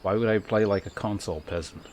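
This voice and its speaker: A man's voice: fairly low pitch, fast, darkish tone, slight background noise. A man livestreaming a game, chatting casually and thinking aloud in a rambling, sometimes profane way. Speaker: game streamer. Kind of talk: casual livestream chat while playing. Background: game music.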